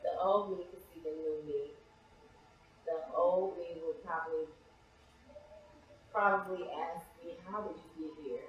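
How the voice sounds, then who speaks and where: A woman's voice: low voice, steady tone, a little slow, pauses often, dull audio. An American woman giving casual interview answers as a guest playwright. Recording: very echoey, reverberant room.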